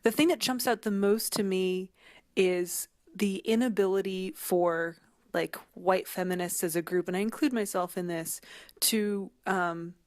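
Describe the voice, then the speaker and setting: A woman giving conversational analysis as a guest commentator, thoughtful and halting as she searches for words. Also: on the low side, no echo, clean studio sound.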